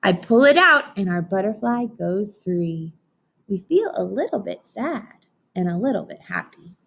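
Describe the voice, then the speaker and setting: A woman reading a children's book aloud like a teacher, expressive and instructive. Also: mid-range voice, creaky. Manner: expressive, instructive, with dramatic pauses and hushed asides. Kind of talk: children's read-aloud with explanations and questions to listeners.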